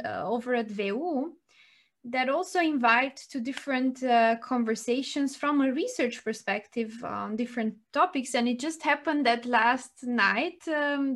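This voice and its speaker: A woman co-hosts a podcast, speaking slowly in a fairly high voice. She pauses often and fills the gaps with ums and uhs. The pace stays unhurried throughout. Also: fairly bright tone. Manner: hesitant, many ums and uhs. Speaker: podcast co-host. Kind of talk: conversational podcast discussion.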